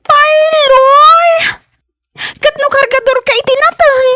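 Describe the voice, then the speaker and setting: A woman playing an excitable, nagging younger sibling who exclaims and whines in a comedy audio drama. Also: very high-pitched, takes it slowly, no echo, clean studio sound, heavily acted. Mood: incredulous. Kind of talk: comedy radio drama acting.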